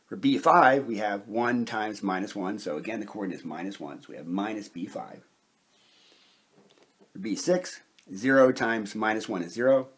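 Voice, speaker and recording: A man's voice: lowish voice, slightly rough. A man narrating a methodical, step-by-step whiteboard lesson as a science lecturer, explaining each point in turn. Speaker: chemistry lecturer.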